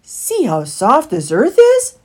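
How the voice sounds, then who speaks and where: A woman's voice: fairly low pitch, big pitch swings, unhurried, breathy edge, exaggerated voice. Woman voicing a brave, earnest little rat. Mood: excited. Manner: earnest, urging. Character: brave, encouraging, practical male rat companion.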